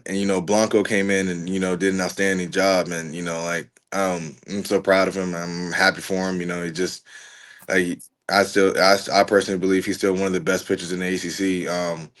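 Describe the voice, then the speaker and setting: A young man in his twenties, a college pitcher, talking casually as a podcast guest and filling his answers with "you know". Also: a little fast. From Richmond, Virginia. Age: 20s. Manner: relaxed, rambling, many "you know" fillers. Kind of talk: casual interview chat.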